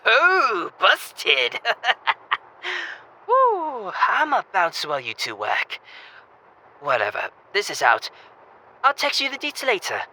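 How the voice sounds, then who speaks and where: A man's voice: high voice, huge pitch swings, takes it slowly, long pauses, bright, forceful tone, slight background noise, heavily acted, poor audio. A young man playing a cocky, boisterous student wingman in an audio drama, brash, teasing and pushy.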